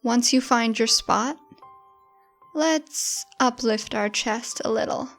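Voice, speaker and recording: Woman doing guided meditation, really slow, lots of vocal fry, encouraging, music behind her. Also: on the high side, a bit bright.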